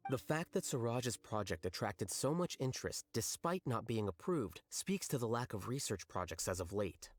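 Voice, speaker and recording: A man's voice: mid-range voice, very animated, moderate pace, no room sound. An actor voicing a detached, blunt young male scholar and official in a video game, speaking in a dry, matter-of-fact way.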